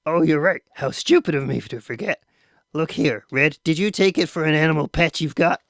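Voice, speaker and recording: A man's voice: on the high side, normal speed, no echo, clean studio sound, pitched above the performer's own voice, lightly acted. A man reading aloud voices a stern, wealthy father who questions his son firmly. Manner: firm, clipped and questioning.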